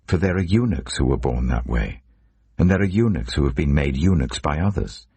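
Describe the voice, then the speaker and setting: A man reading scripture as a narrator in a solemn, measured, dramatic storytelling delivery. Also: a bass voice, gravelly, darkish tone, husky, no echo. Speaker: Bible narrator.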